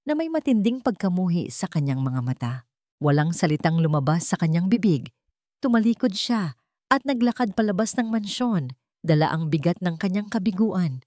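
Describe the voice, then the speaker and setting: Low-voiced woman narrating a drama. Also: darkish tone, no echo, studio quality. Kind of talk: scripted dramatic story narration.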